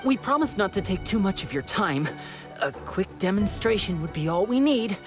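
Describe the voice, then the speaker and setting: A man's voice: high-pitched, big pitch swings, moderate pace. A man voicing an earnest, hesitant young archer trainee in a video game. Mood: hesitant, hopeful. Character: discouraged, eager young male resistance archer trainee. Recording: music behind the voice.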